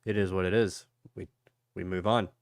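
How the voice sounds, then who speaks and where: Male host, stuffy-nosed and tired, low smooth voice, dry close sound, easygoing chatter to viewers. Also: steady tone, normal speed, no room sound. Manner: rambling, greets chat viewers by name; low energy. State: congested, sniffly. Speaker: crypto livestream host. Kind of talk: casual livestream chat.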